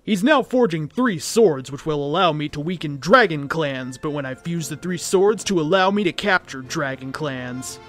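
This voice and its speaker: High-voiced man theatrically reading a story. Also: expressive, normal speed, few pauses, a bit bright. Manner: theatrical, acting out dialogue. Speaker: host reading fan fiction aloud. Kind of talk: dramatic comedic story reading.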